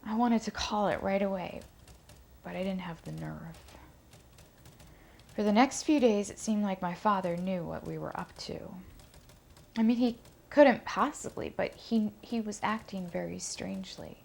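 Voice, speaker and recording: A woman's voice: mid-range voice, a little slow, vocal fry, darkish tone. A woman playing an anxious, guilt-ridden daughter who recalls family secrets in a hesitant, intimate dramatic monologue. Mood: nervous, guilty.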